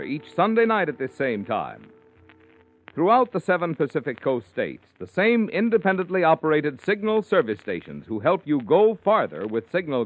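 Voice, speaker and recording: Animated vintage male radio announcer. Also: fairly high pitch. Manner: polished, emphatic salesmanship. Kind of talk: 1940s radio commercial and credits announcing. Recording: tinny old radio recording.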